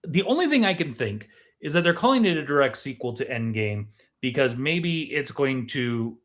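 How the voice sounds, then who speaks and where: A man with a medium-pitched voice discusses things casually and analytically as a podcast co-host. His manner is deliberate and questioning as he works through his reasoning. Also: very animated, a bit bright.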